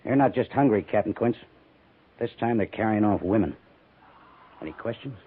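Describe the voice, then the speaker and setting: An actor playing a stern, sarcastic commanding army major in a 1950s radio western, measured and pointed in delivery. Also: male voice, lowish voice, expressive, breathy edge. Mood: stern briefing. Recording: muffled, phone-like 1950s radio recording.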